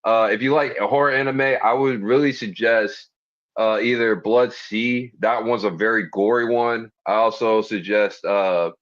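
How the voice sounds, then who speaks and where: A man with a deep voice, talking as a guest in a casual interview, enthusiastic, slangy and profane. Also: fairly even intonation, unhurried, a bit bright. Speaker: guest, body piercer. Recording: dull lo-fi stream audio.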